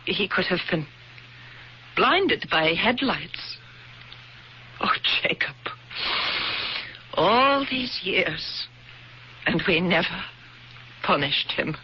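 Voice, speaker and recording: Anguished, intense wife. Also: female voice, lowish voice, big pitch swings, very slow, long pauses, exaggerated voice. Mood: anguished, tender. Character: anguished, intense wife who plotted long-awaited revenge. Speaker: actress in a radio mystery play. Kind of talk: 1970s radio drama acting. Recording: dull lo-fi old radio recording, faint noise.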